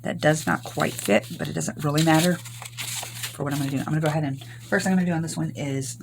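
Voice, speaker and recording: An older woman from Arkansas, hoarse from recent illness, chatting through a rambling crafting tutorial. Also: deep voice, fairly even intonation, quick pace, noisy background. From Arkansas, US South. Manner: rambling, homey, many ums. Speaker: craft channel host.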